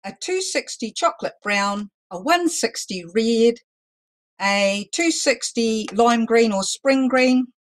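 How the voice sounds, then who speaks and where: A woman teaching a live balloon-twisting lesson, talking loudly and chattily with some self-deprecating humor. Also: medium pitch. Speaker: balloon artist teaching a workshop. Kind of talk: live online demonstration lesson.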